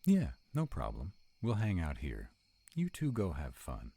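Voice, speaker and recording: A man's voice: low-pitched, wildly swooping pitch, measured pace, gravelly, warm tone, slight background noise, exaggerated voice. A man playing a sarcastic, protective vampire in a conversational audio roleplay. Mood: wry, relaxed. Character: sarcastic male vampire, protective of a young demon companion.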